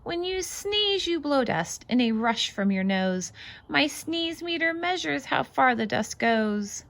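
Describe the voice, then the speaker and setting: A woman reads a picture book aloud for children. Her voice sits a little high and swings widely in pitch, with noticeable creak. She leans into the rhymes in an expressive, sing-song way and slips in casual asides. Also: takes it slowly. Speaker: read-aloud host.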